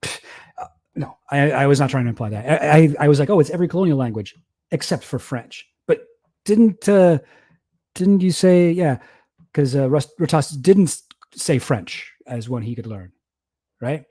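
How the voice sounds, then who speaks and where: A young male streamer talks off the cuff in a mellow, relaxed voice. He rambles, laughs easily and puts himself down as he goes. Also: a little high, normal speed. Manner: rambling, self-deprecating, laughs often. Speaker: streamer reacting to a debate. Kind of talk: casual livestream commentary.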